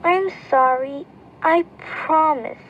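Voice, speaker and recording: Tiny, very high-pitched baby bat girl. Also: slow pace, dull audio, soft background music. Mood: sorry. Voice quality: small, childlike, squeaky. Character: timid, eager little baby fruit bat girl. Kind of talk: children's storybook read-along.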